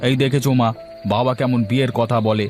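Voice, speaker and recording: A man's voice: medium pitch, fairly even intonation, a little fast, a little mellow, faint music behind, natural voice. A man voicing a touchy, idle grown son, a young adult, in a cartoon family scene. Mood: sulky. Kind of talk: cartoon dialogue acting.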